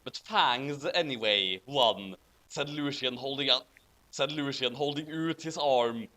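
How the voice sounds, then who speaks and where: A man's voice: medium pitch, a little slow, sharp tone. A man reading fan fiction aloud theatrically, with amused, giggly commentary. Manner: theatrical, hammy emphasis; giggly, breaking into laughter.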